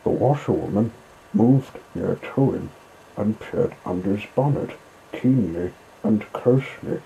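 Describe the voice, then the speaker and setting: A man's voice: on the low side, expressive, unhurried, vocal fry, dark tone, dull audio, slight background noise. A man reading a classic children's story aloud as a scripted narration.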